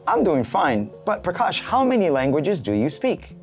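A man's voice: fairly high pitch, wildly swooping pitch, moderate pace, soft background music. A man in his forties hosting a scripted tech segment, asking questions in an upbeat way. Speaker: show host. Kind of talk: scripted studio banter. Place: studio set with map backdrop.

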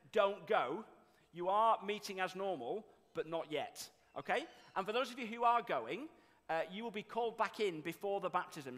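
A man's voice: high voice, fairly bright tone. A young man leading a church service, giving upbeat instructions and introducing speakers from a lectern. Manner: upbeat, directive announcements. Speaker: service leader. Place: church hall with raised stage. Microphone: lectern microphone. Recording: echoey church hall.

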